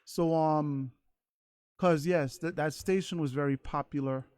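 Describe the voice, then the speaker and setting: A man's voice: on the high side, steady tone, measured pace, darkish tone, dry recording, clean studio sound. A man who is a singer guest on a radio show, talking candidly and hesitantly with many fillers. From Saint Thomas. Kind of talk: casual interview chat. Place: radio studio.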